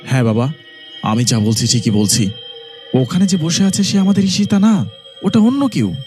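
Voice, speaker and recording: A man's voice: fairly high pitch, lively intonation, moderate pace, darkish tone, soft background music, natural voice. A man voicing an anxious, earnest young village son who narrates and acts in a horror cartoon. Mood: urgent, pleading. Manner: earnest, pleading.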